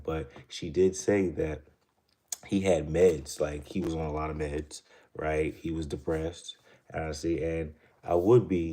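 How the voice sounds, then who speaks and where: A man with an extremely low, deep voice talks casually to his viewers. He rambles through a story and then money rules, and tags almost every thought with "right?". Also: warm tone. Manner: rambling, keeps asking "right?". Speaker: channel host.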